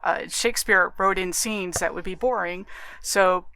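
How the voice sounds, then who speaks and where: Bright, crisp-voiced woman in analytical podcast chat. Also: fairly low pitch, measured pace, poor audio. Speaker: podcast co-host. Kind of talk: casual analytical podcast discussion.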